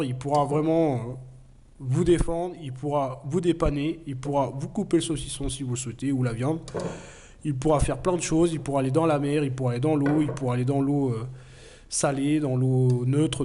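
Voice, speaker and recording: A man's voice: a little high, warm tone, a little hiss or hum. Man reviewing a knife with a cold. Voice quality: hesitant, many fillers. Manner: plain, earnest explaining. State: has a cold. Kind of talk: casual product review.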